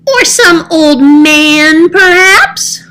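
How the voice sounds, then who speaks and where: A woman voicing a sulky, excitable male cat for a children's storybook, with a whiny, dramatic delivery. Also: very high-pitched, extremely slow, pitched above the performer's own voice, heavily acted. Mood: sly, teasing.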